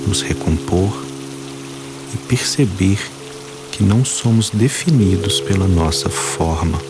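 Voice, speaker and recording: A man guiding a meditation, speaking calmly and slowly in short phrases with long pauses. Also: deep voice. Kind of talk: guided meditation. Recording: voice-over with music and water sounds. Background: soft music, rain and running water.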